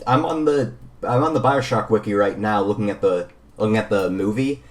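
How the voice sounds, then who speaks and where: A man's voice: fairly low pitch, fairly quick. Informal male gaming podcast co-host. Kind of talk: casual gaming chat.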